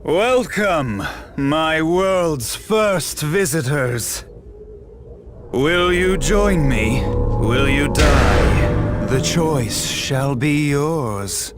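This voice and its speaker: A man's voice: fairly high pitch, animated, extremely slow. A man playing a megalomaniac self-proclaimed god in a fantasy game, with pompous, florid, theatrical delivery and lovesick obsession. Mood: silky, welcoming. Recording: voice over music.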